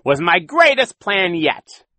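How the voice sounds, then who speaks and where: A man's voice: a little high, wildly swooping pitch, a little slow, pushed and bright, no room sound, pitched above the performer's own voice, full character voice. A man voicing a boastful, cruel villainous con man in an audiobook, with a growling, mocking, exaggerated delivery. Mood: gloating, mocking. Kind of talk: audiobook character voice.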